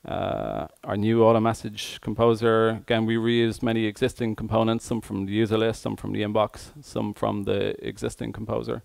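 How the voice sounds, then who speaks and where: A man giving a tech conference presentation as an engineer, speaking hesitantly with frequent pauses mid-phrase. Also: on the low side, gravelly, darkish tone, dry recording.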